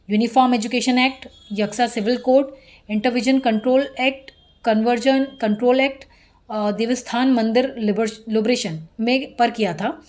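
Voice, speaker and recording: A woman presenting scripted news in a formal manner, occasionally stumbling over a word as she reads. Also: fairly high pitch.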